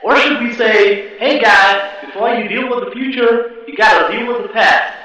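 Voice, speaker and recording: A man playing a brisk, matey American talk show host who probes his guests in a spoof radio comedy. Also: high-pitched, steady tone, bright, forceful tone, pitched above the performer's own voice. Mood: brisk, presenting. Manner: hesitant uhs, addressing studio audience and guests. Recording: very echoey room, distant from mic.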